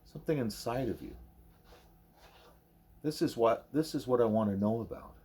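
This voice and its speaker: A man reading the part of a wise, patient gorilla teacher in an audiobook, speaking in formal, measured, probing sentences. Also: mid-range voice, expressive, warm tone, husky. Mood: patient, instructive.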